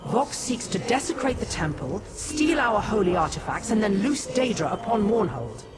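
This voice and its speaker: A woman playing a solemn, regal living goddess in a fantasy game, speaking in a stately, commanding, measured manner. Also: mid-range voice, sing-song, loud music behind the voice, lightly acted. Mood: solemn, grave. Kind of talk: scripted fantasy game dialogue. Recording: noisy game ambience behind.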